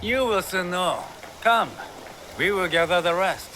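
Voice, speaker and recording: A man's voice: high voice, lively intonation, unhurried, sharp tone, a little hiss or hum, full character voice. A man playing a grandiose, zealous samurai recruiter in a video game cutscene, talking in a florid, ingratiating, theatrical way. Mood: zealous, assured.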